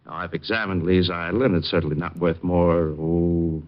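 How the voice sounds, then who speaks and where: A man playing a deep-voiced matter-of-fact doctor. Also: little pitch movement, moderate pace, lightly acted. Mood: dismissive. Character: calm, dismissive male doctor. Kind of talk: 1950s radio drama acting. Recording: muffled old radio recording.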